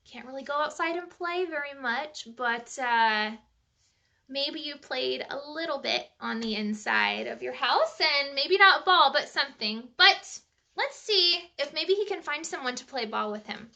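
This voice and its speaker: High, bright woman reading to kids. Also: a little slow, gravelly. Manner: animated, chatty, asks child listeners questions. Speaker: children's storybook reader. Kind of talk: live bedtime storytime read-aloud.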